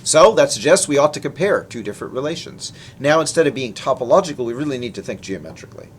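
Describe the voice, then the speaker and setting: A man's voice: mid-range voice, animated, fast, slight background noise. A man presenting research in a technical lecture, explaining in an informal, conversational way. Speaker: researcher presenting his work.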